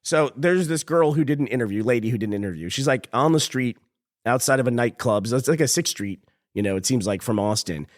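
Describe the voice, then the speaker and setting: A man with a hoarse, sick voice co-hosting a casual podcast, rambling and chuckling at himself. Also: mid-range voice, animated, fast talker, dry recording, clean studio sound. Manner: rambling, chuckling, self-deprecating. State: hoarse from a cold. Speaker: podcast co-host. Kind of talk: casual chat.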